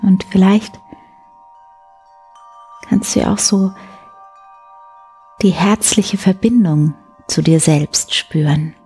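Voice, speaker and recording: Slow, breathy, soothing woman. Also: medium pitch. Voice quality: soft, breathy. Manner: gentle, soothing, unhurried. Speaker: host of a bedtime story show. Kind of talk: guided sleep meditation, soft bedtime storytelling. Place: indoor room beside a wood stove. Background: soft music.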